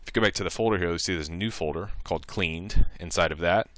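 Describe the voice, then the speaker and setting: Deep-voiced man giving a casual software tutorial. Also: fast talker, no echo. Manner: informal, step-by-step, thinking aloud. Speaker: tutorial presenter.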